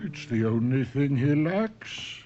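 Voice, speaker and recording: Old professor inventor, husky, unhurried, coaxing, grandiose, radio mystery drama, dull vintage recording. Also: male voice, medium pitch, big pitch swings, over loud music. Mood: coaxing, intense. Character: persuasive old male professor, inventor of humanlike robot.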